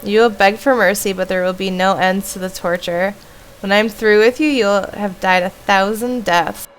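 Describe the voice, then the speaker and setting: A woman's voice: medium pitch, steady tone, moderate pace, natural voice, low-quality recording. A woman voicing a malevolent monstrous woman from a horror game, haughty, threatening and theatrical, with music behind her. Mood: wrathful.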